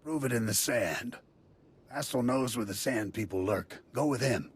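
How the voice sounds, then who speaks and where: An actor playing a dour, seasoned desert militia leader in a video game, commanding and plainspoken. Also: male voice, medium pitch, normal speed, husky. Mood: gruff approval.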